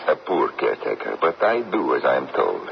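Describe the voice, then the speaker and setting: Radio drama gravedigger: grave, guilt-laden widower, formal speech, very low pitch with expressive swings, dull old recording. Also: male voice, brisk, gravelly, a little hiss or hum, heavily acted. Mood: solemn, resigned. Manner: solemn, formal, stern. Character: grave, guilt-ridden widowed gravedigger father who serves the dead.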